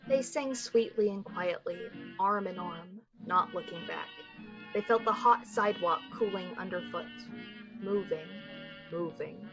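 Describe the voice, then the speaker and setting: A woman's voice: mid-range voice, unhurried, highs cut off, over music. A woman giving scripted literary narration as the storyteller of an old-fashioned radio play.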